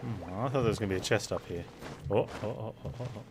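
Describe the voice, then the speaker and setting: A man's voice: lowish voice, quick pace, noisy background. A man chatting playfully as he plays a game live, talking to himself and his viewers. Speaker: game streamer. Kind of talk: casual live game commentary.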